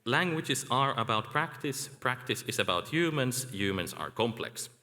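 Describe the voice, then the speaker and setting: Bright-voiced man lecturing in a hall. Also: medium pitch, sing-song. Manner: measured, lecturing with filler "like". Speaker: academic speaker. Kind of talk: conference presentation. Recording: echoey hall.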